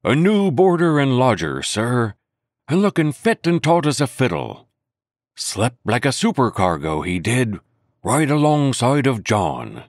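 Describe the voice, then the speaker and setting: A man's voice: medium pitch, huge pitch swings, measured pace, no room sound, studio quality. A man voicing a hearty, wheedling old one-legged pirate cook in a storybook reading. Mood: jovial. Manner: wheedling, jovial sea talk.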